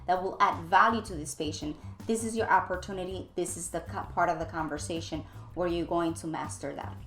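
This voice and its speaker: Woman coach explaining stuff to trainees, emphatic, repeats herself for stress, roomy echo, bit of music under it. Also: medium pitch. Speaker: dental practice coach and trainer. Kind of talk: instructional coaching talk to camera.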